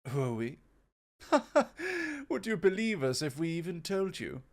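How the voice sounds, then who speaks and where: Man as a slow, courtly god of innovation. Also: high voice, huge pitch swings, full character voice. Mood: admiring, gentle. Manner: formal, ornate, gently teasing. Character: courtly, proud immortal god of wondrous innovation. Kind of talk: ASMR roleplay acting.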